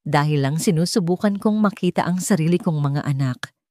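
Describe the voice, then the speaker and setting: A woman's voice: low-pitched, fairly quick, mellow, no echo, natural voice. A haughty, indignant rich villainess with a Filipino accent, put on by a woman narrator. She speaks imperiously and defiantly, vengeful in the manner of an audio melodrama. The recording is dry. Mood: defensive, defiant.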